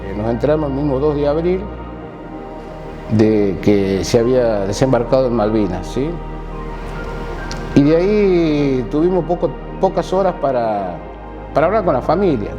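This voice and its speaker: A man in his sixties from Catamarca with an Argentine Spanish accent, giving a halting war testimony full of repeated words and restarts. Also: mid-range voice, lively intonation, muffled recording, music in the background. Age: 60s. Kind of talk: recorded personal testimony.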